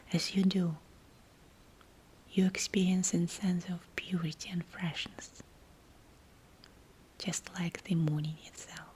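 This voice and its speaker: A woman guiding a scripted visualization meditation in a breathy, soothing whisper, with hushed phrases and pauses between them. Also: on the low side. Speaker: meditation guide.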